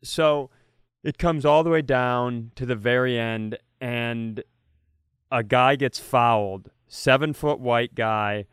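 A man's voice: medium pitch, slow, a bit bright, clean tone, no echo, clean studio sound. A man co-hosting a comedy podcast, telling casual comic stories with profane, jokey banter.

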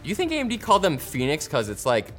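Guy doing tech news, kinda high voice that swings around, sarcastic jokey asides. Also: wildly swooping pitch, quick pace, faint music behind. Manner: playful, sarcastic.

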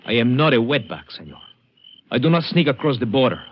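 An actor playing a humble but proud sharecropper with a Mexican accent, halting, polite and earnest, in a 1950s radio drama. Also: male voice, mid-range voice, very animated, close to an everyday voice. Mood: proud, insistent. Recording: dull, noisy vintage radio recording.

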